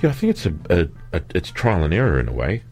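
A man's voice: low voice, huge pitch swings, quick pace, creaky, darkish tone, soft background music. A man who is a singer, talking reflectively in an interview and laughing easily. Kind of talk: conversational interview.

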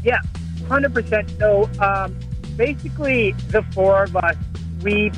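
A musician answers interview questions over a remote line, easygoing and a bit hesitant. His voice sits high for a man, and music plays clearly underneath. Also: a little slow, low-quality recording. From Canada. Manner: easygoing, hesitant, many fillers and restarts. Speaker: musician guest. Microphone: remote call line.

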